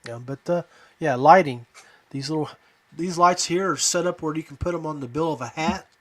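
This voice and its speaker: A man's voice: a little high, normal speed. An American man hosting a fishing podcast in an easygoing, informal, conversational way. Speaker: podcast host. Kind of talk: casual chat about fishing gear.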